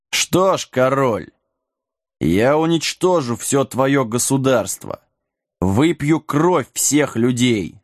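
Guy doing a huge brooding evil god, slow imperious drawl, fantasy audiobook, dry close sound. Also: medium pitch, dry recording. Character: huge ancient dark god, male giant, brooding, menacing. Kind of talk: audiobook character acting.

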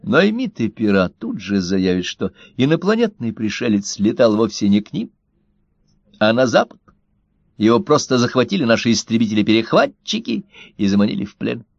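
Brief: male storyteller, animated ironic reading, dull close recording. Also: mid-range voice, moderate pace, creaky, dry recording. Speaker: narrator. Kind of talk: audiobook reading.

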